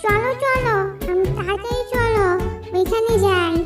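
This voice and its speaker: A woman's voice: extremely high pitch, moderate pace. A woman voicing an eager little girl baby bird in a children's cartoon, with a squealing sing-song delivery. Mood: excited, joyful. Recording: roomy, distant sound under loud music.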